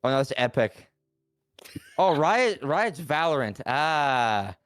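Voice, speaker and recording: A man's voice: medium pitch, big pitch swings, slow pace, fairly bright tone. A man in his late twenties, a podcast guest, talking casually with slang and lots of fillers. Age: 20s.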